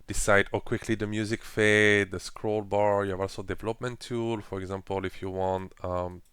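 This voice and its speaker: A man presenting a casual software tutorial with phrasing and frequent fillers, explaining each step while clicking through an editor. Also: fairly low pitch, level tone, moderate pace, clean tone, no room sound. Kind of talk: screen-recorded software walkthrough.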